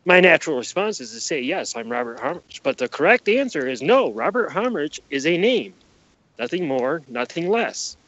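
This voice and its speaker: A man's voice: on the high side, sing-song, moderate pace, fairly bright tone, no room sound. Emphatic man, dull lo-fi recording. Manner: emphatic, repeats key phrases, poses rhetorical questions. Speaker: podcast guest. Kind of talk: conspiracy-minded explanatory interview talk. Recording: dull, lo-fi sound.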